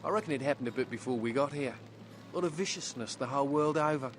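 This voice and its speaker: A man's voice: medium pitch, fast talker, soft background music, lightly acted. A man playing a young surfer turned outcast vampire in a video game, wistful and bitter, with a folksy, plain-spoken manner. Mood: weary, bitter. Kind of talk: video game dialogue acting.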